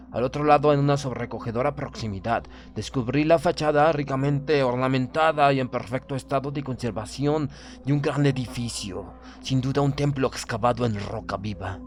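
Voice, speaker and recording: A man narrating a literary horror audiobook in a solemn, measured, formal manner. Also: mid-range voice. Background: background music.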